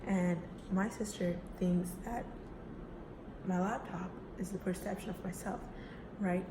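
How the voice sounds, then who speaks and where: Young woman from the UAE, relaxed class talk, full of fillers, slightly low voice, recorded in a noisy echoing room. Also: unhurried. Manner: casual, chatty, with frequent fillers like "right?" and "you know". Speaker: student giving a class speech. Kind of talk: personal self-introduction speech. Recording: echoey room with background noise.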